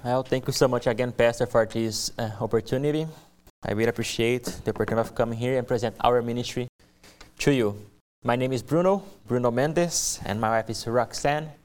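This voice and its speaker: A young man with a Brazilian accent and Portuguese as his first language presents his missionary work to a church. His voice is smooth and clean at a middle pitch. He speaks deliberately, restating key phrases for emphasis. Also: darkish tone. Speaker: missionary giving a ministry presentation. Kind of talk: church testimony speech to a congregation.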